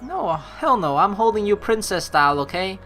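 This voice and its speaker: A young woman doing let's play commentary, reading game text aloud in an animated, playful, blunt and casual way. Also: mid-range voice, moderate pace, soft background music.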